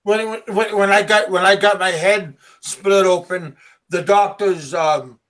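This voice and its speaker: High-voiced man telling a halting story. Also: fairly even intonation, fairly bright tone. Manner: halting, many ums and restarts. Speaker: guest. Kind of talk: casual chat.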